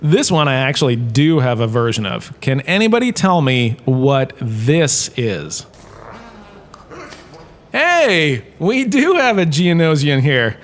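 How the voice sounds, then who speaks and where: A man's voice: on the high side, very animated, slow. A man playing a washed-up actor turned lecturer in a comedic audio drama, chatty and wry, tossing asides to his class. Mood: chatty lecturing.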